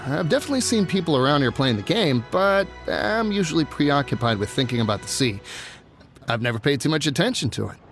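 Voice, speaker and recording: A man's voice: a little high, lively intonation, a little fast, music in the background. Dreamy, easygoing harbor man. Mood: laid-back, musing. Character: easygoing, dreamy male harbor local preoccupied with the sea. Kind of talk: animated video game voice acting.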